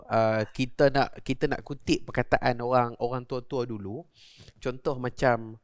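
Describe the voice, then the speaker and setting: A man hosting a relaxed conversation, explaining words at length and affirming his guest often. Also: medium pitch, unhurried, clean tone, dry recording. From Malaysia. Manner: explanatory, chatty, frequent affirming interjections. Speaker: host. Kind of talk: conversational interview.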